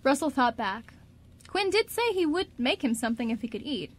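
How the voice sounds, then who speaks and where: A woman's voice: high voice, very animated, moderate pace. A woman narrating a scripted story for a radio drama.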